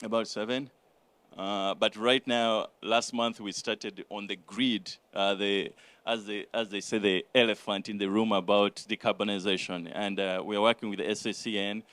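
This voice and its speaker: Hesitant low-voiced male founder. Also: level tone, normal speed, a bit bright. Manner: hesitant, many fillers. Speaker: startup founder. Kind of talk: answering judges' questions.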